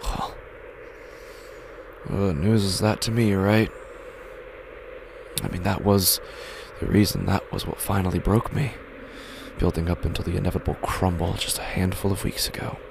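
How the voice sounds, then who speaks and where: An actor playing a weary, troubled man in an audio drama monologue, brooding and halting, often hushed. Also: low voice, flat delivery, gravelly, noisy background. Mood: weary, resigned. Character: weary, troubled man brooding over his warped perception.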